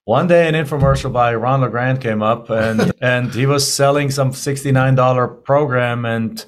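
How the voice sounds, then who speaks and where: A man's voice: medium pitch, normal speed. A German-accented man in his forties tells his story as a podcast guest. He talks in a loose, chatty way, circling back with restarts. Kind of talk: casual interview storytelling.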